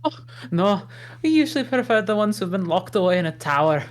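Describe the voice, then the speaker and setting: A woman's voice: medium pitch, sing-song, brisk, natural voice. A woman voicing an awkward, blunt, good-natured male ogre in a comedic table read, hesitant and dry. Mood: sarcastic. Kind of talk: comedic table read of animated film script.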